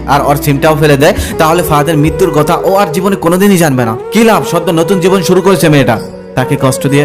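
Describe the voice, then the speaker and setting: A man narrating a scripted sad story in the first person, with a melancholy, sentimental and dramatic delivery. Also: on the high side. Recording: music under the voice. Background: music.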